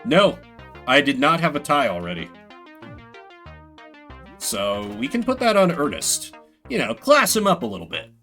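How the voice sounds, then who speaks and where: A man's voice: fairly high pitch, very animated, normal speed, pauses often, pushed and bright. A man doing live game commentary in a playful, sweary way, reading bits of text aloud and chatting with viewers. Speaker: game streamer. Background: video game music.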